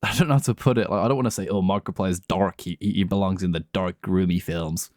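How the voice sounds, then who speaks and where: A male podcast co-host with a fairly low voice, recorded dry without room sound. He chats informally about films, using plenty of slang and swearing. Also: fast talker, a little mellow, dry recording. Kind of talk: casual chat, film discussion.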